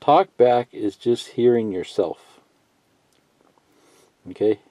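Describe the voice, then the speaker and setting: A man giving a casual, unscripted how-to tutorial, thinking out loud in a halting, folksy way and reading bits of instructions aloud. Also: medium pitch. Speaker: hobbyist instructor. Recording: muffled lo-fi sound, roomy echo.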